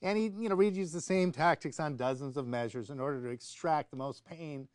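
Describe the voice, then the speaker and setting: A man's voice: a little high, brisk, dry recording, studio quality. An American man co-hosting a political talk show, insistent and often repeating his words as he argues. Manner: insistent, stammering repetition of phrases. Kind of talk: opinionated political discussion.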